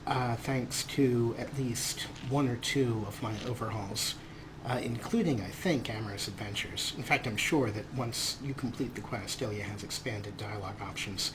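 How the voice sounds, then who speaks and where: A man's voice: medium pitch, normal speed. A man hosting a let's play with casual, playful commentary, often talking to the game's characters. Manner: playful, talks to game characters. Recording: clear game ambience noise.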